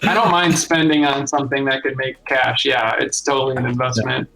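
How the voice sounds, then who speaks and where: A man's voice: medium pitch, level tone, brisk, creaky, sharp tone, soft background music, low-quality recording. A man chatting casually, with a teasing way of talking. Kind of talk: casual chat.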